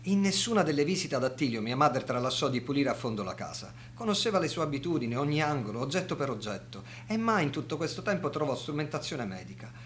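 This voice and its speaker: An actor playing the grave, urgent brother of a dead doctor in a radio drama. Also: male voice, fairly high pitch, sing-song, fast, hardly any pauses, fairly bright tone, slight background noise. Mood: firm, grave.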